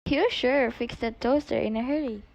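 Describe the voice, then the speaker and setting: A woman's voice: on the high side, normal speed, creaky, a little mellow, slight background noise. A woman playing a pouty, attention-seeking schoolgirl in an amateur radio play. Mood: perky.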